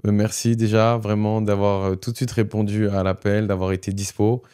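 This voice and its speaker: A man's voice: fairly low pitch, flat delivery, normal speed, dark tone, clean tone. A man hosting a podcast interview, welcoming his guest and laughing easily. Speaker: podcast host.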